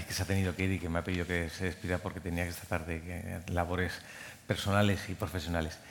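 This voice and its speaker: Low-voiced man speaking in a reverberant hall. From Madrid. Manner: rambling, with many restarts and repeated words. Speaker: scientific coordinator of a medical training program. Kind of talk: conference speech. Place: conference hall.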